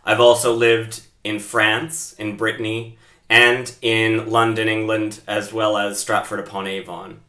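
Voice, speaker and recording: A man from Canada introduces himself in a polite, formal way. His low voice has a bright, forceful tone. The recording is noticeably roomy. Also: flat delivery, measured pace. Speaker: performance designer, professor. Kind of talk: prepared self-introduction. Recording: roomy echo.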